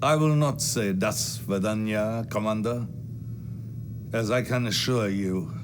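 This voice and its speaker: An actor playing a ruthless, falsely friendly Soviet premier in a video game, with a Russian accent and a pompous, declamatory delivery. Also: male voice, fairly low pitch, takes it slowly, noisy background. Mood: cold, gloating. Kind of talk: theatrical video game cutscene acting.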